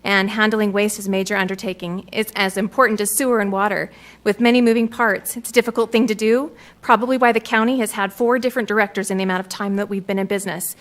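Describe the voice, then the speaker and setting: A woman's voice: medium pitch, steady tone, quick pace, hardly any pauses. A woman who owns a business, reading an earnest, defensive prepared statement at a city council meeting.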